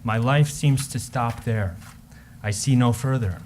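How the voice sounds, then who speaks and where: Ardent young Romantic poet played by a man, medium-pitched, for staged love letters, faint noise behind. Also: a little slow, a little mellow, natural voice. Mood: yearning. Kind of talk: readers theater love letter.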